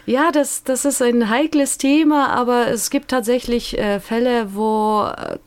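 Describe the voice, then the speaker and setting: A woman guest expert explaining her field in a measured way, with occasional hesitations. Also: on the high side, fairly even intonation, smooth. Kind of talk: explanatory discussion.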